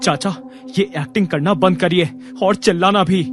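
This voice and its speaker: A man's voice: high voice, moderate pace, lightly acted. A man playing an earnest, defiant young family man in an audio drama. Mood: firm, irritated. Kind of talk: Hindi audio drama acting.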